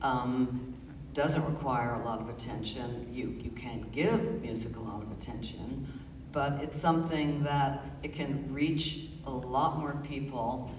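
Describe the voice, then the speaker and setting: A middle-aged woman, an author, speaking thoughtfully and with many pauses in a live radio interview. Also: very deep voice, noisy background. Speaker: author guest. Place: stage before a live audience. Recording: roomy hall, far from the mic, audible ambience.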